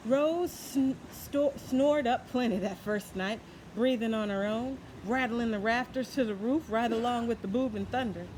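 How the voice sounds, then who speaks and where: A woman reading a children's tall tale aloud in an expressive, folksy way, adding her own asides. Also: fairly high pitch, unhurried, hardly any pauses, slight background noise, poor audio. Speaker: storyteller. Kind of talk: children's story read-aloud.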